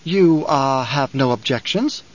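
A man's voice: medium pitch, expressive, measured pace. A male reader acts out a stern aristocratic envoy from an audiobook. He speaks in a formal, pointed way, probing and insinuating as he presses his listener. The sound is slightly dull. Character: stern, probing male count, imperial envoy, veiled threats.

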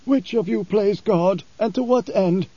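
A weary blind preacher, aged beyond his years by the desert, speaks in a raised, solemn and commanding tone, as a man reading a novel puts him on. The voice is mellow and the sound is dry and a little dull. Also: breathy edge, no room sound. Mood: questioning. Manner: solemn, measured, raised pitch.

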